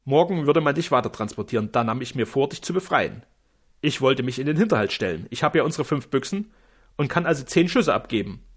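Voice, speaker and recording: A male narrator voicing Juanito, a sly, loyal highway robber, telling his story boastfully and eagerly in a breathy voice. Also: lively intonation, a little fast.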